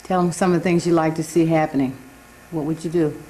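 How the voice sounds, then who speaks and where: A woman's voice: low voice, steady tone, fast, mellow. Firm woman teacher in an echoey classroom. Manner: firm, directive, prompting students with questions. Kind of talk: leading a classroom discussion. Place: school classroom. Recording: echoey classroom, from far off, faint hiss.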